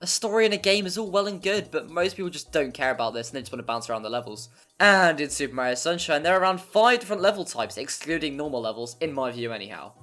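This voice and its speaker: A narrator delivers a scripted game review in a mid-pitched voice. The delivery is wry and prone to exaggeration, with some mild swearing, and music plays behind. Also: a bit bright. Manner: wry, mildly sweary, hyperbolic. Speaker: video essay narrator. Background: background music.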